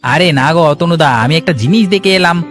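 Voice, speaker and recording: A man's voice: on the high side, quick pace, fairly bright tone, faint music behind, lightly acted. A man playing an eager, gossiping young villager in a Bengali cartoon.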